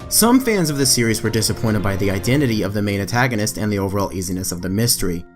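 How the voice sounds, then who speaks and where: Male narrator, low voice, scripted trivia voice-over, faint music bed underneath. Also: expressive, very fast. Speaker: anime trivia video narrator.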